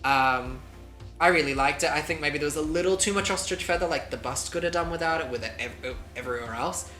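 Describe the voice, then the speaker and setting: Co-host from the UK, casual review chat, bright voice, echoey room. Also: mid-range voice, soft background music. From United Kingdom. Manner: chatty, quick verdicts, nitpicking asides. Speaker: co-host of a drag show review.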